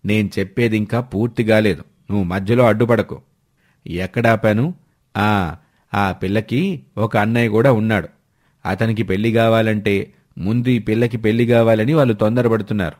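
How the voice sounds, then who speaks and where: A man voicing a blunt, overbearing older uncle who scolds colloquially in an animated village story. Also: fairly low pitch, normal speed, voice pushed down, lightly acted. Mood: scolding, pushy.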